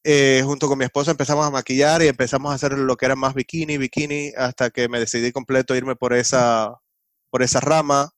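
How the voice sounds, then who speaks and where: A man with a Cuban Spanish accent speaks as a podcast guest. His voice is smooth and resonant, and it is recorded dry and close. He talks in a relaxed, explanatory way. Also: medium pitch, fairly quick, no echo. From Cuba. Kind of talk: casual interview chat.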